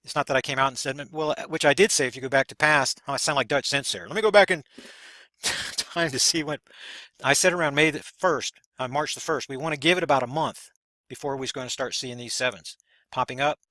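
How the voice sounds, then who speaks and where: Bright-voiced man explaining a chart. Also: mid-range voice, a little fast, no echo. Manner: rambling, repeats words, points at a chart. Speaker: video host explaining a chart. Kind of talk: casual explanatory monologue.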